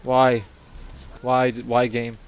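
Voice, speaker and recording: A man with a deep voice he pushes louder, giving excitable, teasing commentary while playing a game. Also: slow pace, dull audio. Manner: excitable, loud, teasing teammates. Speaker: gamer. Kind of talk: casual gaming commentary.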